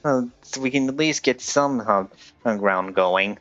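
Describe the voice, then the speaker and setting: A man chatting casually on a game livestream and giving advice in a hesitant, halting way. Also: mid-range voice, a bit bright, no echo, highs cut off. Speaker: game live streamer. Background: game music.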